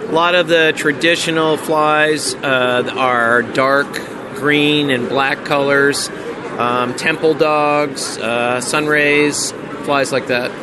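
Man, American, slightly high voice, relaxed interview talk, fillers, noisy outdoor recording. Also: takes it slowly. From United States. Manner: relaxed, lots of ums and uhs, dry humor. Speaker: lodge marketing representative. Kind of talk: casual interview at an outdoor event.

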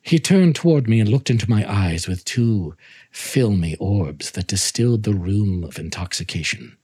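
A man's voice: fairly low pitch, animated, darkish tone, breathy edge. A man giving a dramatic, theatrical reading of a classic horror story in formal literary narration. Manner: theatrical, deliberate literary delivery.

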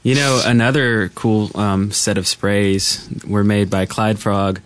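Male podcast co-host, slightly low register, relaxed informal chat about gaming. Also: takes it slowly, smooth.

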